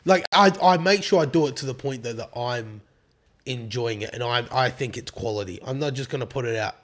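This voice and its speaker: A man with an Australian accent and a raspy voice, chatting casually as a guest on a podcast, full of fillers and swearing. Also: medium pitch, a little fast, fairly bright tone. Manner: laid-back, swears freely, filler-heavy. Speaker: rapper guest.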